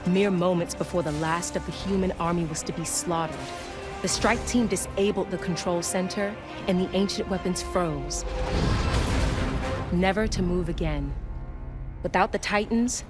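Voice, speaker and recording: A woman's voice: lowish voice, natural voice. A woman playing a composed, knowledgeable adventurer who tells lore in a video game cutscene. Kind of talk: video game cutscene acting, dramatic narration. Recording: music behind the voice.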